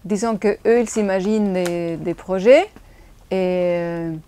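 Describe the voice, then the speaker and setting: A graphic designer and photographer from Spain, a native Spanish speaker with a Spanish accent, answering interview questions in plain, slightly non-native phrasing. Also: female voice, mid-range voice, unhurried, dark tone.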